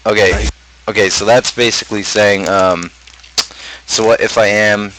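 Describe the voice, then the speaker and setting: Low-pitched man, halting and rambling. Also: flat delivery, low-quality recording. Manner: halting, rambling, thinking aloud. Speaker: streamer host and amateur rapper. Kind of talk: casual talk explaining own song lyrics.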